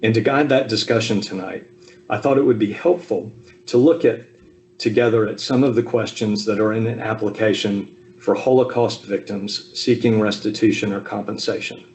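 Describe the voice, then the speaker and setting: A man in his fifties from the American South, giving a relaxed personal talk over a video call with frequent ums and asides. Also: lowish voice, moderate pace, a little hiss or hum. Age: 50s. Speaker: speaker at a Zoom talk. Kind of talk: personal family history talk.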